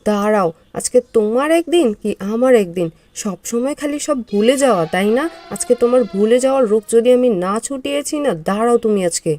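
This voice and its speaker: A woman playing a sharp-tongued village wife in a cartoon, with a slightly raised, mellow voice. She nags and scolds at every turn. Her impatience never lets up. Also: fairly high pitch, fairly quick, close to an everyday voice. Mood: furious. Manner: scolding, nagging. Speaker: cartoon voice actor. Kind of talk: cartoon voice acting.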